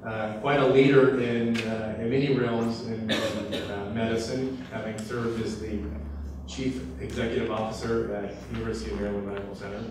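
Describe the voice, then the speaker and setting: A man introduces a guest lecturer in a hesitant, filler-laden way, his voice staying fairly flat. He sounds distant from the microphone in a very echoey room. Steady background noise sits under his words. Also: lowish voice, normal speed. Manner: hesitant, many fillers and restarts. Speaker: fellowship program director introducing a speaker. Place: lecture hall.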